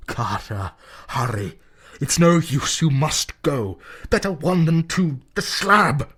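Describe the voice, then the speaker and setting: A man voicing a desperate man trapped below a tomb, shouting frantic pleas to his friend above. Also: fairly low pitch, expressive, measured pace, gravelly, husky, no echo, exaggerated voice.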